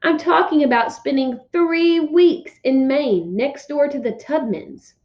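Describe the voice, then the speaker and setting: An exasperated older-brother type of about sixth-grade age, acted out by a woman reading a storybook. He grumbles and complains with sarcastic emphasis. The voice is fairly high and the sound slightly dull. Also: a little slow. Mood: grumbling. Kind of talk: children's storybook read-aloud.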